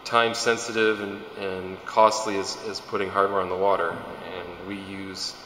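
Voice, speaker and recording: Man, 30s, low-ish bright voice, flat, echoey big room, slight hum. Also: a little slow. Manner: conversational, hesitant restarts. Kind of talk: corporate video interview. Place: large indoor simulator hall. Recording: echoey hall with faint hum.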